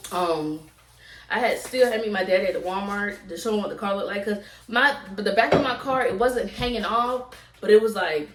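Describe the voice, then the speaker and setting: A woman's voice: mid-range voice, a little hiss or hum. A young adult woman with a Southern US accent telling a casual, repetitive story to viewers as a vlogger. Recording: roomy echo, distant from mic.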